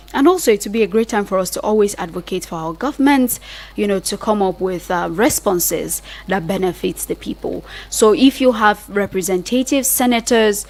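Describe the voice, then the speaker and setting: A woman's voice: medium pitch, darkish tone. A woman radio host with a Nigerian accent speaks live on air. Her delivery is conversational and earnest, addressing listeners directly as she urges them to take part.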